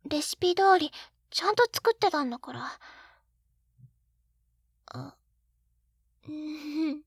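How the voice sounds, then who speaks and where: A woman's voice: high-pitched, lively intonation, slow, dry recording, heavily acted. A woman playing an eager, clumsy, sweetly pouty young wife in an intimate binaural roleplay, with a cutesy, flustered delivery. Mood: sheepish, earnest. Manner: cutesy, flustered, pouting, hesitant little gasps. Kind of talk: Japanese situation-voice roleplay, intimate ASMR audio drama. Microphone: close binaural 3Dio microphone.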